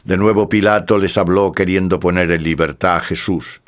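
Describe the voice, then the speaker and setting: A man narrating scripture from a script in a solemn, measured manner. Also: sits low, steady tone.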